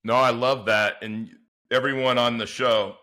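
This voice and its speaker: A man's voice: medium pitch, fairly even intonation, a little slow, bright, forceful tone. A man hosting a podcast, chatty and enthusiastic as he talks with his guest. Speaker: podcast host. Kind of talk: casual interview chat.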